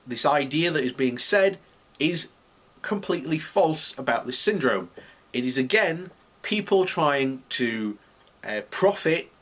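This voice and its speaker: A man's voice: mid-range voice, a bit bright. A man giving unscripted, opinionated commentary as a video blogger, speaking emphatically and indignantly and repeating himself to drive points home.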